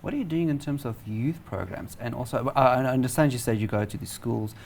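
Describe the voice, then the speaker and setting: A man's voice: mid-range voice, fairly quick, darkish tone, slight background noise. A man with a South African accent presenting a broadcast interview and putting questions in a formal style.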